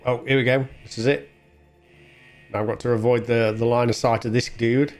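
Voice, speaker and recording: A man's voice: medium pitch, steady tone, normal speed, a little mellow. Chatty man commentating over game music. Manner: chatty, thinking aloud. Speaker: gaming video host. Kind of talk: casual game play commentary.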